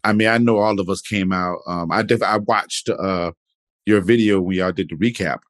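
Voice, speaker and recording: Low voiced podcast host guy, laid back, rambles and restarts a lot, dry close sound. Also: normal speed, no echo. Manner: relaxed, rambling, many fillers and restarts. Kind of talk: casual interview chat.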